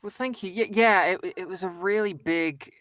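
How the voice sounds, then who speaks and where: A man's voice: high voice, normal speed, a bit bright, no echo, clean studio sound. A man voice actor, a guest on a stream, speaking thoughtfully and hedging with many "kind of"s and "sort of"s. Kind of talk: casual conversation.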